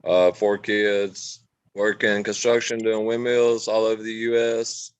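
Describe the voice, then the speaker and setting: Man, 40s, from louisiana, matter-of-fact, flat low smooth voice, dry sound. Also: unhurried. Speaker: game player, construction worker.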